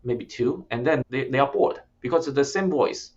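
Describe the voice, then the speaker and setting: A man's voice: mid-range voice, normal speed, darkish tone, highs cut off. A man who is not a native English speaker, a startup founder explaining his product in an interview, speaking plainly with hesitant restarts. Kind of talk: interview conversation.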